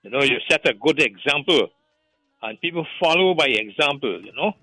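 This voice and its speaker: A man's voice: medium pitch, animated, moderate pace, pushed and bright, no room sound, soft background music, rough recording. A man with a Guyanese accent, calling into a radio show and rambling on, often stammering and repeating his words. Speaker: caller. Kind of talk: call-in radio chat.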